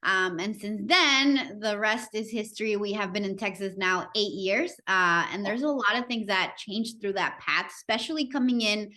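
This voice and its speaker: Bright-voiced woman from Nicaragua. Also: medium pitch, normal speed, hardly any pauses. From Costa Rica and Nicaragua. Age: 30s. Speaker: podcast guest, entrepreneur. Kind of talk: interview conversation.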